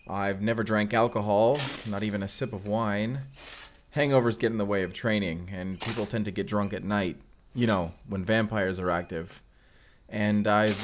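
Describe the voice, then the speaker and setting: A man's voice: fairly low pitch, slight background noise, lightly acted. A man acting a mysterious vampire slayer, earnest and wryly awkward, in an intimate audio roleplay with casual, confiding delivery. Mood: hesitant, confiding. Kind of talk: cinematic audio roleplay acting, intimate one-sided dialogue.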